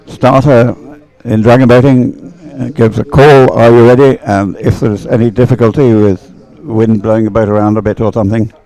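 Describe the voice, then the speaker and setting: A man giving live sports commentary on boat racing, speaking in a hesitant, unhurried, explanatory way. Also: mid-range voice, steady tone, dark tone, rough recording. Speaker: race commentator.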